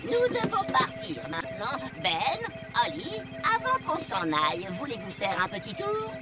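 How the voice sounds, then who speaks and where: A woman playing a friendly, formal little alien visitor in a cartoon dub, making cheerful, deliberate declarations. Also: fairly high pitch, huge pitch swings. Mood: polite, friendly. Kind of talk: animated cartoon dub acting. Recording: loud music behind.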